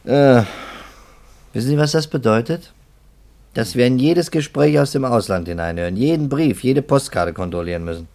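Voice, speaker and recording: An actor playing a skeptical, weary police investigator in a 1950s German radio drama, deliberate, guarded and matter-of-fact. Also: male voice, mid-range voice, darkish tone, close to an everyday voice.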